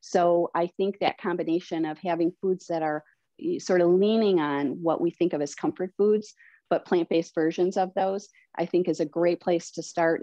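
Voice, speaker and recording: A low-pitched woman with a mellow, warm tone explains plant-based eating patiently, with lots of gentle fillers. She speaks over a slightly dull, dry webcam connection from a home kitchen. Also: dry recording. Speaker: plant-based cooking instructor. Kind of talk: answering questions in a video call. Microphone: webcam at a distance. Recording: slightly dull, dry video-call audio.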